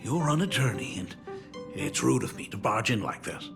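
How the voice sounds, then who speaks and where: A man's voice: mid-range voice, expressive, moderate pace, creaky. An actor playing an awkward, doting middle-aged father who talks in a hesitant, apologetic, bumbling way. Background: game soundtrack music.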